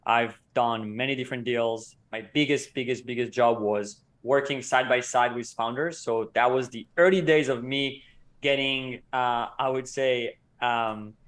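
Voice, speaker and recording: A man in his thirties who grew up in Israel, a company co-founder telling his story as an interview guest in a measured, explanatory way. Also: medium pitch, fairly even intonation, fairly bright tone. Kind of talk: casual interview talk.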